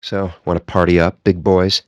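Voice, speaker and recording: Guy voicing a cocky nerdy video game poker player, sardonic and wordy, lowish voice, really dry close sound. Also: level tone, normal speed, dry recording, lo-fi sound, natural voice. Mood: wry. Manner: sardonic, smug, theatrically wordy. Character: cocky, foul-mouthed, nerdy male gamer at poker table. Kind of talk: video game character banter.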